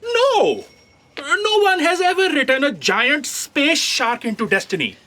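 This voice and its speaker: Brief: female editor-in-chief, fairly high and lively, exasperated, incredulous, space comedy. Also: a little slow, full character voice. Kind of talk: audio sci-fi comedy acting.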